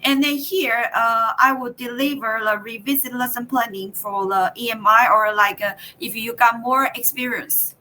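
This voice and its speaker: Bright-voiced Taiwanese woman teacher trainer. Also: on the high side, fairly even intonation, a little hiss or hum, poor audio. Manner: halting, with many fillers and restarts. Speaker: teacher trainer leading a workshop. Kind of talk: online workshop presentation.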